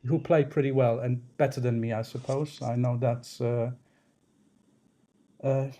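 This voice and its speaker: Male interview guest, 30s, native Polish speaker. Warm, relaxed timbre, thoughtful and self-effacing, feels his way through answers. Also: mid-range voice, a little slow. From Poland. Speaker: podcast guest, snooker referee.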